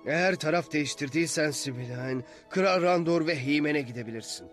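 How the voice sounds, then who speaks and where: A man playing a weary, dignified imprisoned king in a dubbed cartoon, measured and grave. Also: fairly high pitch, faint music behind, lightly acted. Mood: grave, patient. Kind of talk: dubbed cartoon drama acting.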